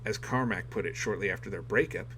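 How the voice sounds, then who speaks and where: Man reading dramatically over clear background noise. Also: fairly low pitch, fast talker, sharp tone. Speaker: audiobook reader. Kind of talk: dramatic scripted narration.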